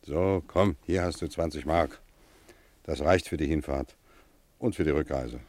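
An actor in a radio play playing a gruff but kindly schoolmaster, mock-stern, prodding and fatherly. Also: extremely low pitch, a little slow, darkish tone, dry recording. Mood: gruffly generous.

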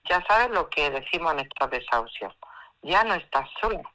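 Woman playing a steady, bright-voiced housing activist. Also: deep voice, normal speed, poor audio. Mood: calm, reassuring. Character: calm, supportive woman housing activist advising a friend. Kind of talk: amateur audio drama.

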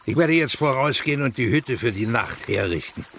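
An actor playing a terse, deliberate rustic male mountain guide with a creaky voice in a German radio play. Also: mid-range voice, expressive, fairly bright tone, a little hiss or hum. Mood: instructing.

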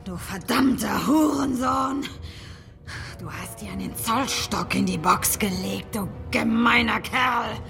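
An actress playing a defiant, vengeful spirit medium in a radio drama, taunting and venomous. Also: female voice, on the high side, slow pace, gravelly, exaggerated voice. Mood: furious, cursing. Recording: music behind the voice. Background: music.

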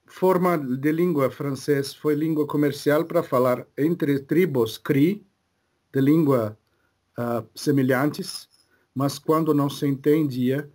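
Man voicing a calm male Cree spirit guide; very slow, broken delivery, mid pitch. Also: close to an everyday voice. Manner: slow, broken phrasing with many pauses. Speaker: medium channeling a spirit. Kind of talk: slow halting spiritual channeling.

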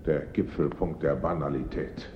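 Very deep-voiced, bitter inspector. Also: male voice, steady tone, moderate pace. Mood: bitter, resigned. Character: bitter, disillusioned male police inspector. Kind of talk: German radio play acting. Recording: echoey hall, muffled, noisy radio-play recording.

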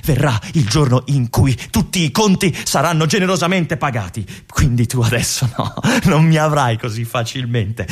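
A husky, brooding lonely lieutenant. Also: male voice, medium pitch. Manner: musing aloud, animated swings from brooding to feverish. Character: lonely aging male army lieutenant, obsessive, brooding. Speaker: stage actor in a monologue. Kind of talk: theatrical monologue for radio.

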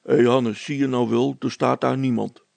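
A man's voice: medium pitch, steady tone, normal speed, vocal fry, darkish tone, no echo. A man voicing a simple, nervous, agreeable village policeman in a storybook, with a hesitant, plaintive, colloquial way of talking.

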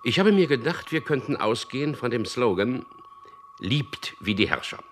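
An actor in a German radio drama playing a smooth-talking propagandist who tells and acts out his own story in an earnest way. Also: medium pitch, big pitch swings, moderate pace, slight background noise, close to an everyday voice. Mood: eager, persuasive.

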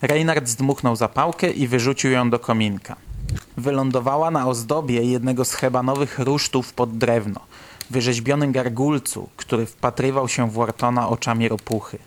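A man's voice: mid-range voice, fairly even intonation, normal speed, slight background noise. A man reading scripted literary narration for a radio drama.

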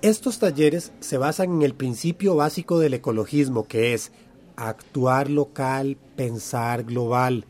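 A man's voice: medium pitch, measured pace, a little mellow, lightly acted. A man with a Central American accent playing an encouraging community workshop teacher in a radio drama, affirming answers and prompting with questions. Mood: affirming, explaining. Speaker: workshop facilitator. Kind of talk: radio drama acting as a lively classroom lesson.

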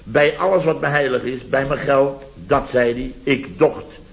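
A man's voice: medium pitch, measured pace, vocal fry. An actor playing a boastful, indignant contractor in his fifties in a radio comedy, repeating himself and exclaiming self-importantly. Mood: sarcastic, indignant. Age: 50s. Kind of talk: 1970s radio comedy acting. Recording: dull vintage radio recording.

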